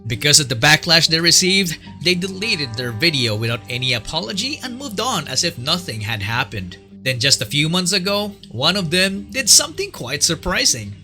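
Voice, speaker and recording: A man's voice: fairly high pitch, big pitch swings, normal speed, few pauses, sharp tone, soft background music. A man narrating a fan-made documentary from a script, in a chatty way that asks the viewer questions.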